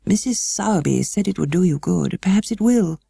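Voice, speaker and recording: Woman doing a weary, creaky, melancholy gentleman. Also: lowish voice, lively intonation, dry recording, studio quality, voice pushed up, full character voice. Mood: worried. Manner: slow, halting, worried, well-spoken. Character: weary, melancholy gentleman guardian, stiff but kindly.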